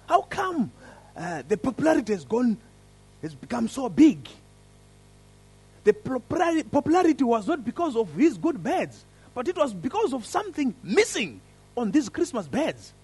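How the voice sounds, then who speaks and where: Husky, lively man preaching a sermon. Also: very high voice. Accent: African. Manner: earnest, lively storytelling with rising emphasis. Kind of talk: sermon with prayer and story. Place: church service.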